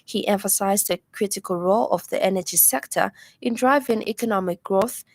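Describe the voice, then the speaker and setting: A woman news anchor reading a radio news bulletin in a measured, formal delivery from a studio. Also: mid-range voice. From Zambia.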